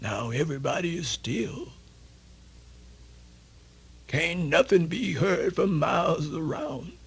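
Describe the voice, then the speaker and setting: A man telling a folktale for radio theatre in a folksy, exclamatory, drawn-out storytelling manner. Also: fairly high pitch, animated, gravelly, breathy edge. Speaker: storyteller narrator. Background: music.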